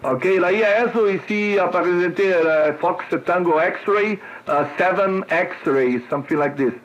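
A Brazilian man, a native Portuguese speaker, chatting as a radio operator in a halting, repetitive way. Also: high voice, dull audio. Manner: halting, repetitive foreign-language speech. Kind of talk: casual radio contact chat with callsign spelling. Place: cluttered home radio desk indoors.

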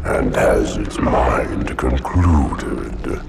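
Colossal hive-mind monster, guttural growl, extremely slow, grandiose, commanding, game cinematic, loud music underneath. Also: male voice, extremely low pitch, lively intonation, vocal fry, recorded from a distance, heavily acted. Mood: ominous, solemn. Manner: slow, grandiose, rhyming pronouncements. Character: ancient giant monstrous hive-mind creature, ominous, commanding.

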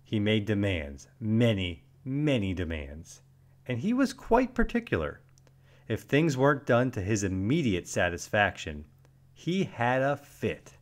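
A man reading a children's storybook aloud as narrator, with deliberate, dramatic emphasis and expressive delivery. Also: lowish voice.

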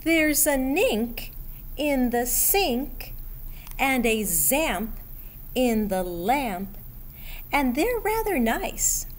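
A middle-aged woman reading a picture book aloud to children in an expressive, deliberate way, pausing as she addresses young listeners. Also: sits high. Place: school library room, indoors. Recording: steady background room noise.

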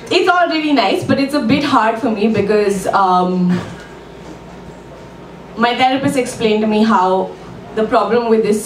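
Indian woman doing open mic stand-up, dry jokes, lots of ums, roomy bar sound, faint hiss. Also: medium pitch. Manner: self-deprecating, wry, with frequent ums and laughs. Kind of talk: stand-up comedy set. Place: small club stage with red curtain. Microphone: mic on a stand, filmed from the room. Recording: echoey club room, voice from far off.

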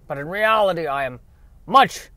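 A man acts out an old mill owner in a spoof fifties sci-fi radio play. He is eccentric and cryptic. He draws out his story in slow, halting, dramatic phrases. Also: a little high, lively intonation, pushed and bright, dry recording, voice pushed up. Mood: mysterious, grandiose.

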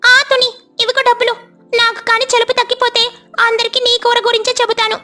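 A woman voicing an excitable, friendly female parrot neighbour in a cartoon fable, gushing and exclamatory. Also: very high-pitched, fast, voice pushed up. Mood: grateful, confirming.

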